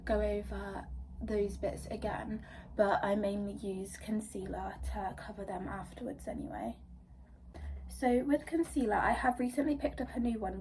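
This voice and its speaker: A woman's voice: mid-range voice, darkish tone, far from the mic, a little hiss or hum, poor audio. A young woman with a stuffy nose from a cold, chatting casually through a makeup tutorial, rambling with lots of fillers. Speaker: beauty vlogger.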